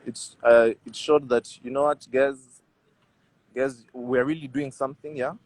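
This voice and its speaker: A man in his twenties with a Ugandan accent, telling personal stories in casual chat as an interview guest, animated and repeating phrases for emphasis. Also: mid-range voice, a little slow, poor audio. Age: 20s. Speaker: rugby player, interview guest.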